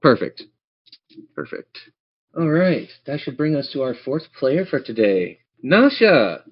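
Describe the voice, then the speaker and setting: A man's voice: mid-range voice, big pitch swings, slow, slightly rough, dull audio. A man running a tabletop game as game master, narrating the action and chatting encouragingly with players.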